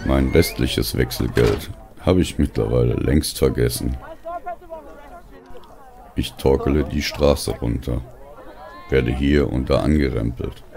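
A man narrating a scripted first-person audio story in a slow, weary, brooding way. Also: a bass voice, vocal fry. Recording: music behind the voice. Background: music.

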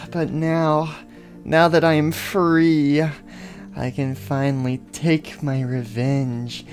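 A squeaky little doll girl bent on revenge, put on by a man. She creeps along in hushed menace and breaks into shrieking, unhinged rage. Soft music hums underneath. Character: tiny vengeful female doll, unhinged.

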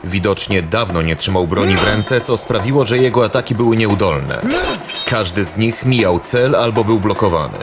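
A man's voice: fairly low pitch, lively intonation, normal speed, loud music behind the voice. A man narrating a scripted fantasy audio drama as the storyteller.

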